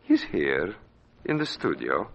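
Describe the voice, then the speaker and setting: An actor playing a mad, domineering puppeteer murderer in 1940s radio thriller style, gloating theatrically and prone to rage. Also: male voice, extremely low pitch, huge pitch swings, slow pace, gravelly, a bit bright, husky, full character voice. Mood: sinister politeness. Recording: noisy, dull vintage radio recording.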